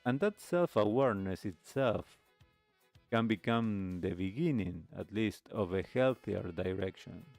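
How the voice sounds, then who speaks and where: Male talk host, low register, very unhurried and deliberate, reflective monologue over a music bed. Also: lively intonation, gravelly, a little mellow. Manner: measured, deliberate phrasing with pauses. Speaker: radio show host.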